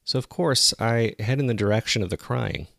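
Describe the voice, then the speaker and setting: A man playing a jogger who recounts a frightening experience in the woods, as scripted first-person horror narration told in a measured, suspenseful way. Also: medium pitch, gravelly, a bit bright, no echo, studio quality. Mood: measured, uneasy. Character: local male jogger recounting a terrifying discovery in the woods. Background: ambient sound effects.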